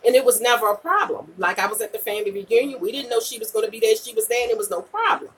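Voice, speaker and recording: Forceful, husky woman in her late forties. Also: on the high side, fast, far from the mic, low-quality recording. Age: late 40s. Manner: emphatic and repetitive, punctuated with "okay?" and "you know"; candid, colloquial, slangy. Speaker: vlogger and podcaster chatting live with viewers. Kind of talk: casual livestream chat giving personal advice.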